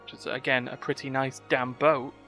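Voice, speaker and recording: A man's voice: medium pitch, little pitch movement, normal speed, bright, forceful tone, soft background music. A man giving casual, wry, irreverent commentary while streaming a video game. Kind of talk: casual live gameplay commentary.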